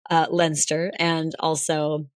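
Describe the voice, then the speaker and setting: Hoarse young woman co-host, low voice, dry close sound, chatty and rambling. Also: flat delivery, takes it slowly, dry recording. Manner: chatty, laughs often, rambling restarts. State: hoarse, recovering lost voice. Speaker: podcast co-host. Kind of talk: casual chat.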